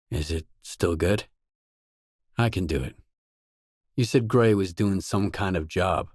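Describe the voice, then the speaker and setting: Casting: a guarded male game stalker, low register, unhurried delivery, terse and determined. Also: a little mellow, breathy edge, no room sound, clean studio sound, heavily acted. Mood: probing, businesslike. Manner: terse, probing, matter-of-fact. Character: guarded, determined male stalker mercenary in post-apocalyptic zone. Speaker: video game voice actor. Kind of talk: isolated video game dialogue lines.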